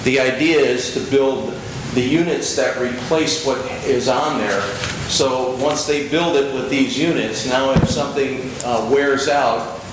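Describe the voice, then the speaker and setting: A man at a community meeting explains an idea step by step, pausing and restarting. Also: mid-range voice. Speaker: meeting attendee making a suggestion. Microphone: far room microphone. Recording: distant, very echoey room with background noise.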